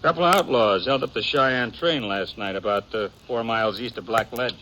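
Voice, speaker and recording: An actor playing an eager young cowboy in a 1950s radio western, a smooth talker with a folksy, hesitant American Western drawl. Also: male voice, medium pitch, normal speed, sharp tone, close to an everyday voice. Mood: explaining. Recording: muffled, noisy 1950s radio recording.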